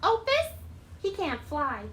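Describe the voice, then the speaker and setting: A woman's voice: very high-pitched, wildly swooping pitch, slow, fairly bright tone, slight background noise, full character voice. A woman voicing a prim female bird puppet in improvised chat, earnest, literal-minded and easily offended. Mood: matter-of-fact, opinionated.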